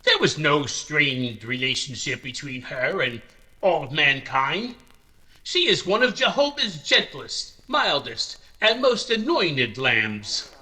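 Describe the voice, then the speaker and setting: A man's voice: a little high, big pitch swings, takes it slowly, sharp tone, heavily acted, rough recording. A man playing a pious, long-winded small-town reverend, preachy and sanctimonious, in an old-time radio drama. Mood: reverent.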